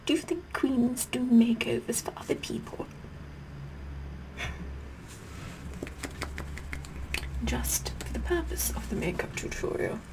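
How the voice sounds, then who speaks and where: A woman playing a sassy, vain, bossy queen in an ASMR roleplay, half-whispering in a pompous, deliberate manner. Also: high-pitched, background noise, lightly acted. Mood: haughty, condescending. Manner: pompous, deliberate, repeats words softly. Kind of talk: ASMR roleplay makeup tutorial, whispered and soft-spoken.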